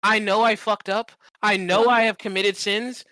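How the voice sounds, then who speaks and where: A podcast co-host chatting casually and comedically, acting out examples and swearing freely in an animated storytelling manner. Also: medium pitch, moderate pace, dry recording, low-quality recording.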